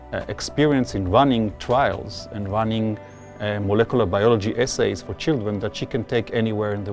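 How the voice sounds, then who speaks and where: A man's voice: lowish voice, darkish tone. A man speaking earnestly as a mentor in a documentary interview, giving emphatic advice. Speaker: physician-scientist mentor.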